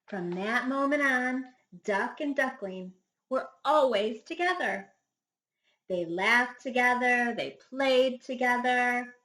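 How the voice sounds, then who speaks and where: A woman reads a children's storybook aloud in an echoey room. Her delivery is dramatic and very animated, phrased deliberately for young listeners in the manner of a primary school teacher. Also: a little high. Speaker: first grade teacher reading a picture book.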